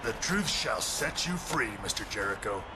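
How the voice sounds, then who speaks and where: A man playing a cocky, sardonic, confrontational pro wrestler in a video game, with a gravelly voice and sarcastic delivery. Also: mid-range voice, lively intonation, normal speed, lightly acted. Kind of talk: video game story-mode voice acting. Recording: clear ambient background noise.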